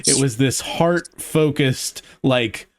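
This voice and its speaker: A man's voice: medium pitch, slow pace. A man in casual podcast conversation, enthusiastic and slangy, often laughing as he explains his ideas. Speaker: podcast co-host.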